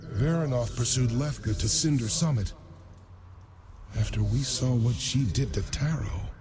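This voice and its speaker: A man's voice: medium pitch, unhurried, noisy background, close to an everyday voice. An actor playing a grave, stern male ally in a fantasy video game.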